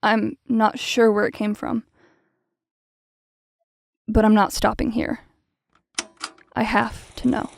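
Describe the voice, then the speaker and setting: Woman acting studious researcher with creaky voice. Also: a little high, flat delivery, slow, long pauses, dry recording. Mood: absorbed, curious. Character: archive researcher PH Hollow, studious, curious, uneasy. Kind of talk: audio drama acting, recorded-log narration.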